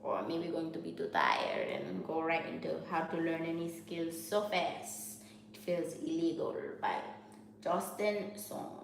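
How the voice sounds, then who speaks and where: Woman, Haitian Creole accent, low gravelly voice, study vlog, echoey noisy room. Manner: talks candidly, restarts and repeats herself. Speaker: student vlogger.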